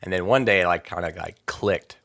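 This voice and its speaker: A man's voice: deep voice, normal speed, creaky, a bit bright, no room sound, studio quality. A young man from Maryland chatting casually as a podcast guest, rambling with lots of fillers and laughing easily. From Maryland, USA. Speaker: podcast guest, metal vocalist. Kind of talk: casual interview chat.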